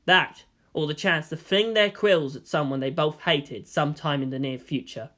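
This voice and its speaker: A man's voice: a little high, normal speed, fairly bright tone. A man reading fiction aloud as narrator, in a dramatic scripted style. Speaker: story narrator.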